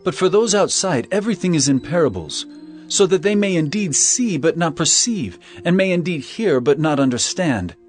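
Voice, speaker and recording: A man playing an authoritative teacher preaching parables in a dramatized scripture reading, speaking with deliberate emphasis. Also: fairly high pitch, sing-song. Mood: earnest, explaining. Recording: music behind the voice.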